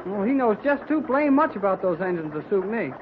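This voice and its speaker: A plucky American lad speaks in a high, boyish voice, eager and a little anxious. It is old radio serial acting on a dull recording with clear noise. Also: male voice, sing-song, fairly quick. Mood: stubborn, worried. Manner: earnest, boyish. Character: earnest, worried teenage boy deckhand, eager and plucky.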